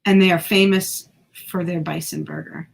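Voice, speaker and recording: A woman's voice: lowish voice, steady tone, normal speed, vocal fry. A woman co-hosting a podcast in casual chat, talkative and self-deprecating, sometimes tripping over her words. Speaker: podcast co-host.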